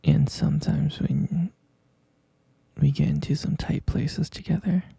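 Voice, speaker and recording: A hesitant, concerned middle-aged stepfather, acted by a man in an audio roleplay. He speaks slowly in a half-whisper, halting between phrases. The voice is very dark and full of gravelly creak. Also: on the high side, steady tone, no room sound, full character voice. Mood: hushed concern. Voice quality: breathy, gravelly. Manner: halting, hushed, drawn-out delivery. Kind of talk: scripted ASMR roleplay.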